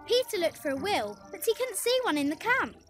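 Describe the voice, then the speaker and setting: A woman's voice: very high voice, sing-song, fairly quick, a bit bright. A woman reading a scripted children's story expressively, addressing young listeners, with music behind her. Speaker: storybook narrator. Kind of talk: animated children's scripted narration.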